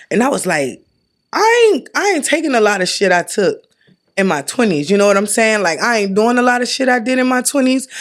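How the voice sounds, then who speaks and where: This is a woman in her thirties guest-hosting a casual podcast conversation. Her voice sits at a middle pitch, and she talks in an animated, slangy and emphatic way. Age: 30s. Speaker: guest host. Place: indoor podcast set, drinking.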